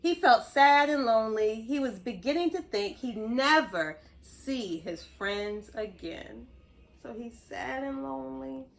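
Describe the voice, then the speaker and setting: A woman reading a story aloud to young children, expressive, pausing to explain things and ask simple questions. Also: on the high side, creaky. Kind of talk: animated read-aloud narration.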